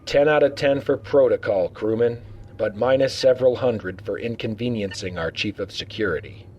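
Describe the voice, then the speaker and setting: Man playing a low-voiced, wry starship captain. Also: normal speed, a little mellow, close to an everyday voice. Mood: wry, commanding. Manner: dry, wry, commanding. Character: male acting starship captain, wry, stern, authoritative officer. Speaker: voice actor in fan audio drama. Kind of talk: science-fiction audio drama acting.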